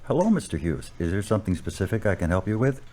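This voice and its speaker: A man as a deep-voiced, patient parish priest. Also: sing-song, a little mellow. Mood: courteous. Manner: measured, counselling, formally worded. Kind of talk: amateur audio drama acting.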